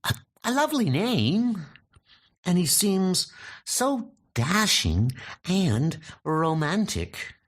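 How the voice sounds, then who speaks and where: Male performer as melodramatic female bystander, high pitch, exclaiming, swooping, comic dub. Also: sing-song, slow pace, fairly bright tone, heavily acted. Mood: awestruck. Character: excitable, awestruck female nurse and frightened bystanders. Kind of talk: comic book dub acting.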